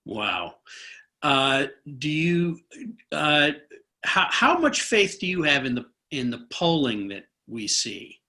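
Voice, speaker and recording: Male interviewer, crisp bright edge to the voice, conversational, slightly hesitant phrasing. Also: fairly high pitch, very animated, slow pace. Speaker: host interviewing a guest.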